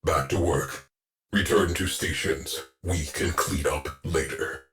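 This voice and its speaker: A man playing a gruff, sardonic shipboard AI officer in a video game, giving clipped, deadpan military orders. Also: rumbling low, slow pace, faint music behind, exaggerated voice. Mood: dry, brisk. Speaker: ship's AI crew officer. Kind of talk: scripted video game voice lines.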